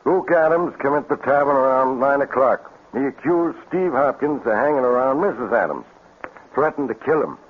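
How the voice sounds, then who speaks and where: A plain-spoken country sheriff in an old radio play. He is firm and folksy, with a rough, husky voice at middling pitch, heard through a tinny, narrow recording. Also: lively intonation. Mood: measured testimony. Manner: folksy, deliberate. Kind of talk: 1950s radio drama acting. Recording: thin, phone-like vintage radio recording.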